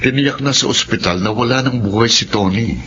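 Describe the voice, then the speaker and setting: A man's voice: medium pitch, fairly quick, bright, forceful tone, slightly rough. An actor playing a skeptical, plain-spoken working father in a radio horror drama. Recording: dull sound with music behind.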